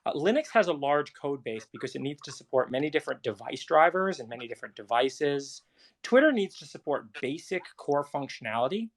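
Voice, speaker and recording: A man with a somewhat high voice argues in a casual tech discussion. He is blunt and challenging, stating his points like an engineer. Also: expressive, brisk.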